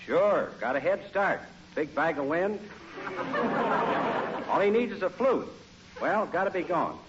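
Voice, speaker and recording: A man's voice: on the high side, animated, measured pace. A man playing a breezy, wisecracking bandleader friend in a 1940s radio comedy. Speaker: supporting comedy actor. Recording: noisy, dull radio recording with audience.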